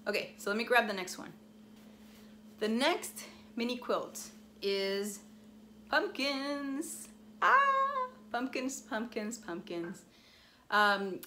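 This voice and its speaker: A woman's voice: fairly high pitch, very animated, slow, long pauses, sharp tone, slight background noise. A woman whose first language is Spanish, chatting in a bubbly, gushing way as she shows off her crafts. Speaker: crafting vlogger. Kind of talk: casual show-and-tell chat.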